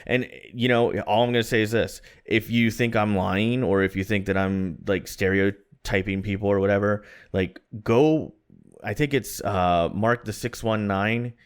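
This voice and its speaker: A man's voice: on the low side, fairly even intonation, normal speed, clean tone. A man in his thirties from Florida, co-hosting a podcast in a rambling, self-deprecating casual chat. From Florida, USA. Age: 30s. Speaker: podcast co-host.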